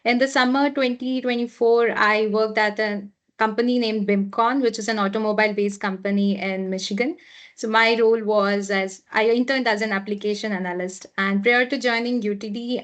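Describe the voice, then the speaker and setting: A woman graduate student panelist giving a plain, orderly self-introduction on a video call. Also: medium pitch.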